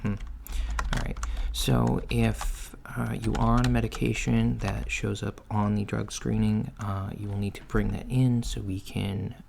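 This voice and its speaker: A man playing a mild, matter-of-fact alien floor manager in a soft-spoken, hesitant ASMR job interview roleplay. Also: level tone, measured pace, gravelly. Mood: procedural. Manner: hesitant, low-key, procedural, murmured acknowledgements. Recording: lo-fi with faint background noise. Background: computer keyboard typing and clicks.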